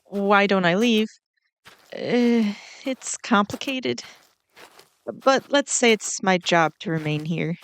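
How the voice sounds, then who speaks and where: Gentle, flustered ant queen, voiced by a woman. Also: medium pitch, takes it slowly, long pauses. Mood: modest, explaining. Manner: gentle, hesitant, rambling with small nervous laughs. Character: kindly, flustered giant four-armed ant queen. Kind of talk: scripted roleplay audio drama, addressing the listener.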